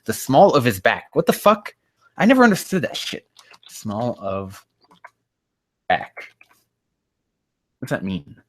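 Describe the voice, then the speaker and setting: A man's voice: mid-range voice, very animated, slow, pauses often, no room sound. A man hosting a sarcastic reaction reading of fan fiction, with a profane, mocking manner prone to exasperation. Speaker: host.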